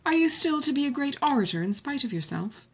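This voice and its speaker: A woman's voice: sits high, big pitch swings, brisk, pitched above the performer's own voice. A woman voicing an affectionate, teasing middle-aged mother in a novel, questioning in formal language.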